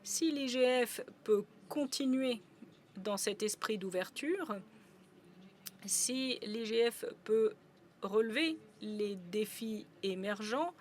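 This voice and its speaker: A woman doing simultaneous interpretation at a conference, a steady relay full of brief hesitations. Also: a little high, takes it slowly, a little hiss or hum.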